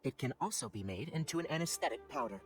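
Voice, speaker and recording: A man voicing a young forest ranger scholar in a video game, exacting and testy, with a crisp lecturing manner. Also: mid-range voice, animated, quick pace, soft background music, close to an everyday voice. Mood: focused, hushed. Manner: crisp, lecturing, matter-of-fact.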